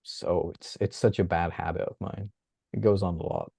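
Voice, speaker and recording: A man, chatting as an interview guest from his bedroom at home, animated and laughing often. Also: sits low, moderate pace, vocal fry, husky, no echo, studio quality. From England. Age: 30s. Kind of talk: casual interview chat. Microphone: video call.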